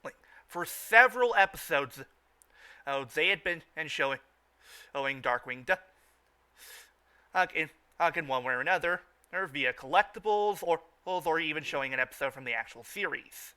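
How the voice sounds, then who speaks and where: A man with a fairly high, very bright and forceful voice gives informal fan commentary. He speaks haltingly, doubling back to repeat words and phrases as he recaps. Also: expressive, clean tone. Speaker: review show host.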